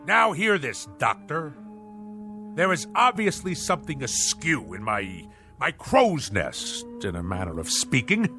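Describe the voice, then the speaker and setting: An actor playing a pompous, irascible middle-aged boss in a radio drama, blustering in nautical turns of phrase like a sea captain. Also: male voice, fairly high pitch, very animated, unhurried. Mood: indignant, pompous. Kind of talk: theatrical radio drama acting. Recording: radio drama with music underneath.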